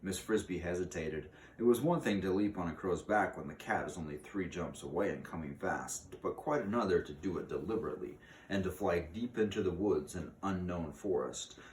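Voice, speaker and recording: Man, storybook narration, very low voice, expressive pauses, roomy echo. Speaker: reader of a children's book aloud. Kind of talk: storybook read-aloud narration. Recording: roomy, distant mic with faint noise.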